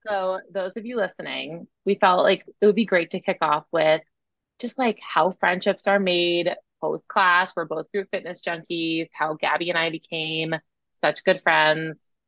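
Young female co-host, scratchy and fry-heavy, chatty, lo-fi dry mic. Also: on the low side, fairly even intonation, a little slow, fairly bright tone, no echo. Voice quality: scratchy from teaching. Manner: chatty, laughs often, says "like" a lot. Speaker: podcast co-host, spin instructor. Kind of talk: casual chat. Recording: dull lo-fi sound.

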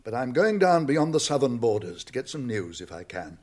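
An actor playing a stern, shrewd old wizard with a British accent and a gruff, husky voice in a radio drama. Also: male voice, medium pitch, sing-song, a little mellow. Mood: grave, urgent. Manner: authoritative, deliberate, brisk questioning.

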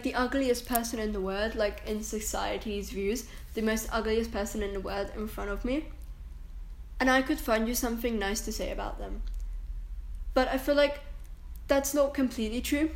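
A woman's voice: on the high side, slight background noise. Chatty young woman rambling in an echoey room. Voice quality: strong, confident voice. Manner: rambling, chatty, self-interrupting with likes and restarts. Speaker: podcast host. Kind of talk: casual solo rant. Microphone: camera and microphone, recording herself.

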